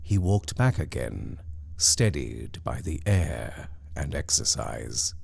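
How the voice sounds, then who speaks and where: A man reading a scripted ghost story in a measured, dramatic storyteller's manner. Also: rumbling low, big pitch swings, gravelly, darkish tone, husky. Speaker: story reader.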